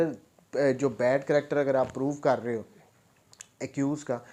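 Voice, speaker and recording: Pakistani man lecturing on law, mellow voice. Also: medium pitch. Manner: repeats and rephrases points, mixes English terms into his speech. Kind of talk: explanatory law lecture, reading statutes aloud then explaining.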